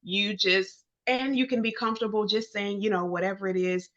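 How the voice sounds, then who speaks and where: A woman from Georgia with a bright, forward voice at a medium pitch, heard through slightly muffled, lo-fi audio. She hosts in a casual, informal way and is affirming toward her guest. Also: steady tone, moderate pace. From Georgia, United States. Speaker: interview host. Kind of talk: casual interview chat. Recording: dull lo-fi audio.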